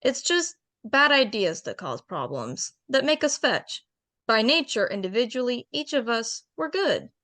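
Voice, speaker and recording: A woman's voice: a little high, very animated, unhurried, sharp tone, exaggerated voice. A woman voicing an earnest female bear who makes a pleading speech to a council of animals, in an amateur radio drama table read. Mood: impassioned.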